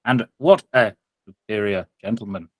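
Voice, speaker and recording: A man voicing a pompous, fawning village priest in a tabletop game, with halting, formal, unctuous speech. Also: lowish voice, dry recording, natural voice. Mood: reverent.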